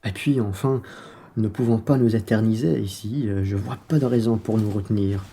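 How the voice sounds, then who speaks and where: A practical, grumbling male traveller played by a man with a very dark, mellow voice. He speaks plainly, in the style of an amateur radio play. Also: fairly low pitch, moderate pace, husky. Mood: exasperated.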